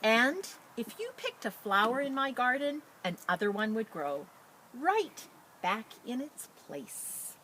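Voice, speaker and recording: A woman who works as a teacher librarian, talking and reading a picture book to children in an expressive, enthusiastic way. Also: a little high, takes it slowly, slight background noise, rough recording. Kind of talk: children's story read-aloud with casual introduction.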